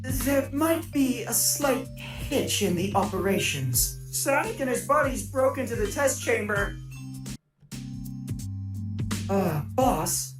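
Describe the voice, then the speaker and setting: A woman doing a fretful little robot henchman. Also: fairly high pitch. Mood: nervous. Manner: worried, sighing. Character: fretful male robot henchman, anxious and fussy. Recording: echoey, music underneath.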